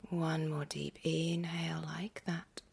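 A woman leads a guided meditation in a lowish, breathy voice that often drops close to a whisper. Her delivery is very slow and gentle, with long pauses. There is a noticeable creak in her soft, soothing tone. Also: level tone. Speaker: yoga nidra meditation guide.

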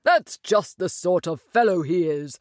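Storybook rat, male, chummy and sociable, as a female reader puts him on, lively swooping voice, dry close sound. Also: fairly low pitch, no echo. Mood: friendly. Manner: brisk, chummy, gossiping. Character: cheerful sociable male water rat, chatty. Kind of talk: storybook voice acting.

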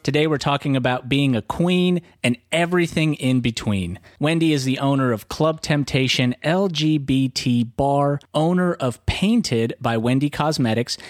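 A man's voice: medium pitch, expressive, normal speed, few pauses, no echo, clean studio sound. A man hosting an interview podcast, introducing his guest and putting questions to them. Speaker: podcast host.

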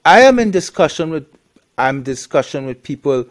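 A man's voice: a little high, sing-song, normal speed. A man from Trinidad, a guest on the show, talks bluntly in a casual interview, with many restarts and fillers.

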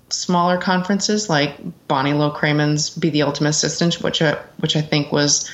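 Low-voiced middle-aged American woman, dull audio. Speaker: interview guest, executive assistant. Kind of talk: conversational interview answers. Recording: dull, lo-fi sound.

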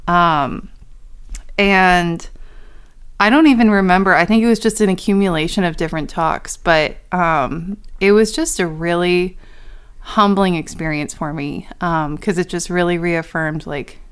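A woman's voice: on the low side, unhurried, smooth. A young woman in her twenties, a podcast co-host, chatting casually and laughing often as she talks. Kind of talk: casual chat.

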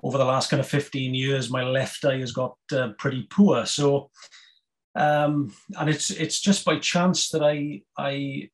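A Welsh man in his fifties, a guest on a podcast, talking casually with many pauses, fillers and restarts. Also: mid-range voice, little pitch movement. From Wales. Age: 50s. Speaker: guest, snooker player and federation chairman.

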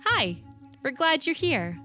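A woman's voice: high-pitched, very animated, slow, sharp tone. A woman hosting a children's audio drama with a scripted welcome. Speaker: host. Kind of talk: scripted show introduction. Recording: music behind the voice.

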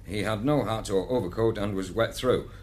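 A man's voice: lowish voice, normal speed, darkish tone, close to an everyday voice. A man playing a dutiful policeman in a radio drama, reporting in a formal, matter-of-fact way. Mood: dutiful, factual.

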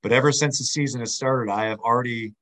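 A man co-hosting a podcast, friendly and chatty, his voice a little hoarse. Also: medium pitch, steady tone, quick pace, a bit bright. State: slightly hoarse. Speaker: podcast co-host. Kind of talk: casual podcast chat.

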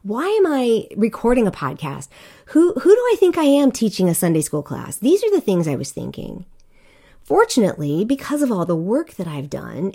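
A woman's voice: mid-range voice, dark tone. A middle-aged woman from Korea hosting a solo podcast, talking candidly and conversationally with fillers and restarts. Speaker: podcast host.

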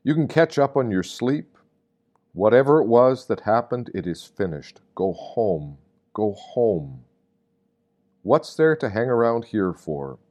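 Slow, low-voiced man reading a meditation. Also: big pitch swings, a little mellow, dull audio. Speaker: reader of meditations. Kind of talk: reflective scripted reading of a devotional meditation.

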